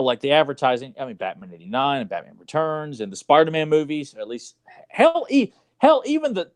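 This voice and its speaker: A man's voice: medium pitch, no echo. A man co-hosting a casual movie podcast, talking in an exasperated, sarcastic way with loose, salty language. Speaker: podcast co-host.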